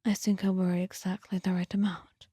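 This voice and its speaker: A woman voicing a quiet, protective young swordsman who hides his worry, in a slow, breathy ASMR roleplay whisper. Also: fairly low pitch, flat delivery, dry recording, studio quality. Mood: soft insistence. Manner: slow, understated, tender. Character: quiet, blank-faced, protective young swordsman, secretly worried.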